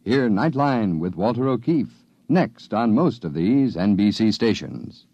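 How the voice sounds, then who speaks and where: A man's voice: fairly low pitch, very animated, unhurried, dark tone, no echo. A man reading a short, punchy scripted radio promo. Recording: dry, dull vintage radio.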